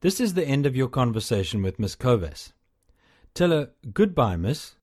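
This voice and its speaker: A man narrating a language course, calmly prompting the learner what to say next. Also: medium pitch. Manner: calm, measured prompting. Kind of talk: scripted instructional narration.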